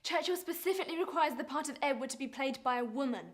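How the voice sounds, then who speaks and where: A woman's voice: high-pitched, fast talker, lightly acted. A woman playing a condescending young actress fresh out of drama school. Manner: snide and condescending. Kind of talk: short film acting.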